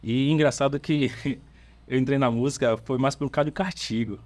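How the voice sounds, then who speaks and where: A man from Salvador with a Bahian accent, a church band's bassist, chatting casually on a podcast in an easygoing, halting storytelling way with fillers and restarts. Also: fairly even intonation, darkish tone. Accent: Bahian Portuguese. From Salvador, Brazil. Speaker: bassist of a church worship band, podcast guest.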